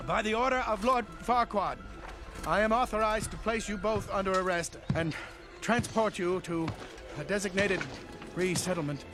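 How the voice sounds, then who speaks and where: An actor playing an officious but faltering guard captain in an animated film, proclaiming formally. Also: male voice, sits high, normal speed, over music. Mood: official, uneasy. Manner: formal proclamation, losing nerve.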